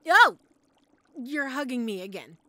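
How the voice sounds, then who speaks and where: A woman's voice: fairly high pitch, very animated, unhurried, bright, forceful tone, no room sound, exaggerated voice. A woman playing a chatty, flustered young witch-in-training shopkeeper in an audio roleplay, with a theatrical, rambling manner. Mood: eager, excited. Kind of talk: scripted audio roleplay, addressed to the listener.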